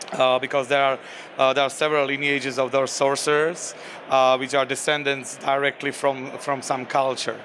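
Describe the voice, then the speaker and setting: A game designer with a Slovak accent talks in a flat, even tone. He hesitates and restarts often. Faint traffic noise sits behind him outdoors. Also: medium pitch. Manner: halting, many fillers and restarts. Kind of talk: convention interview.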